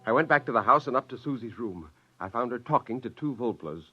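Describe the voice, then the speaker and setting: A man's voice: medium pitch, animated, quick pace, breathy edge, soft background music. An actor playing an exasperated father and scheming inventor in 1950s radio drama, blustering in dialogue and narrating his own story. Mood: rueful narration. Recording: dull vintage 1950s radio recording.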